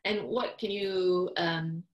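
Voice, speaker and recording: A woman's voice: on the low side, steady tone, slow, dull audio. A woman interviewing a guest in a casual, friendly way, using many fillers like um and you know. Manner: friendly, with frequent ums and you-knows. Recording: roomy echo.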